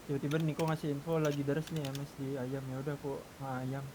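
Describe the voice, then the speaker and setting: A man's voice: completely flat delivery, fairly quick. A man with an Indonesian accent chatting casually and colloquially during an online gaming session. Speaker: gaming group member in an online roleplay session. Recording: noisy voice chat with low rumble.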